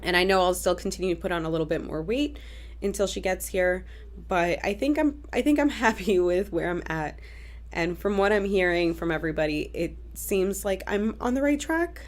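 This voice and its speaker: A young woman with a stuffy nose from a cold, chatting casually in a vlog update with frequent fillers and restarts. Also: lowish voice. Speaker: vlogger.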